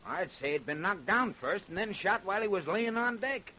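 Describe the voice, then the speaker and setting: A man's voice: sits high, lively intonation, moderate pace, sharp tone, low-quality recording. An actor playing a folksy, skeptical and self-assured small-town lawman in an old-time radio crime drama, with colloquial phrasing. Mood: startled, puzzled. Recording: hissy old radio recording.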